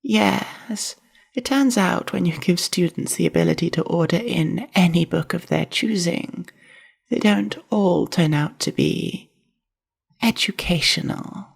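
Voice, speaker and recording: A woman voicing a reclusive, awkward spider-woman librarian in a whispery, husky ASMR roleplay, with a hesitant, dryly deadpan delivery. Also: lowish voice, measured pace, heavily acted.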